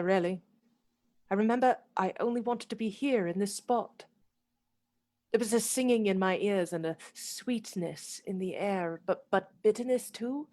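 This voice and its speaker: A dreamy young Victorian lady played by a woman with a medium-pitched voice. She sounds airy, wistful and reassuring, as in a radio drama about a spellbound heroine. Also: a little mellow, natural voice. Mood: dreamy, distracted. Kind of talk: radio play acting.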